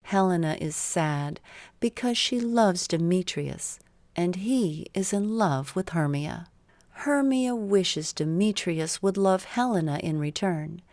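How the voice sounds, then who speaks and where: A woman narrating a scripted bedtime story for children in a measured, simple storytelling way. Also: on the low side, animated, a little mellow, no room sound.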